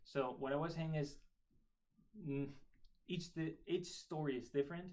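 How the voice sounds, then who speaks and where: A man's voice: a little high, unhurried, a little mellow. Brief: man, 20s, Spanish accent, Spanish native speaker, friendly and talkative, loose unscripted interview delivery. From Spain. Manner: friendly, chatty, repeats and restarts words. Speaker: interviewee, member of a religious order. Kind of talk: casual interview chat. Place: school in Ireland.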